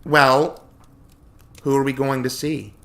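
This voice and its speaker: A man's voice: mid-range voice, little pitch movement, slow, creaky, bright, forceful tone, voice pushed up, heavily acted. A man voicing a determined, level-headed heroine who reasons persuasively in a storybook reading. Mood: sly.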